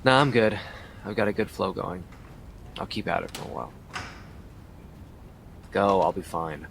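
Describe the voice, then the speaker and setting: Low, gravelly detective. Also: male voice, expressive, normal speed, a bit bright, a little hiss or hum, heavily acted. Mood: brisk. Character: weary, driven male police detective recording his case. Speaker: detective narrator. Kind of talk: audio drama narration and dialogue.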